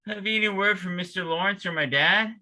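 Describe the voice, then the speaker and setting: A man's voice: high voice, a little fast, sharp tone. A man in a play table read over a video call, playing an anguished young jailed lover with urban street talk. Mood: worried, brooding.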